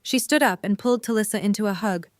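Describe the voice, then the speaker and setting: A woman reading the narration of an audio drama in an even storytelling manner. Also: mid-range voice, fast talker, no room sound. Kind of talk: scripted narration.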